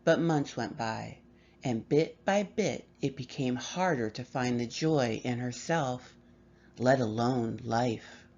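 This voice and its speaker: A woman reading a horror story aloud with measured, expressive delivery and dramatic pauses. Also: rumbling low, lo-fi sound. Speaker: story reader.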